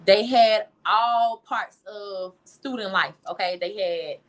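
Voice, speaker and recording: A woman's voice: medium pitch, slow pace. Young Southern American woman, casual and emphatic. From Louisiana, United States. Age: young adult. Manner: informal, emphatic. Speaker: interview guest, singer and songwriter. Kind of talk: casual interview chat.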